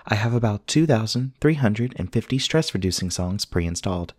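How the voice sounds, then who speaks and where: Man voicing a formal, low-voiced companion robot. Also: lively intonation, a little mellow, no room sound, studio quality. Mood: matter-of-fact. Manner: formal, measured, precise diction. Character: polite, earnest male companion robot, courteous and literal. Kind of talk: scripted ASMR roleplay acting.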